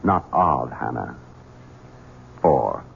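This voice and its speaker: An actor playing a scheming, coldly coercive grown son and heir in an old-time radio mystery. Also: male voice, very deep voice, expressive, drawn-out words, background noise, full character voice. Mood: insinuating. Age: 30s. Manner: smooth, coldly coercive, insinuating. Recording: tinny, muffled vintage radio recording.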